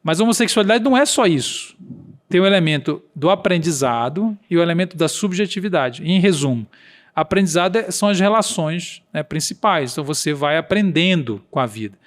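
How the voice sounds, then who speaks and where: A man's voice: a little high, expressive, brisk. A man with a regional accent, a podcast guest explaining earnestly and acting out quoted dialogue. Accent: Brazilian Portuguese regional accent.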